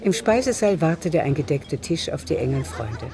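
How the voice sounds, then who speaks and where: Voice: mid-range voice, big pitch swings, moderate pace, over music. A performer as a composed literary narrator, reading scripted narration in a radio drama. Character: composed literary narrator of a nineteenth-century novel.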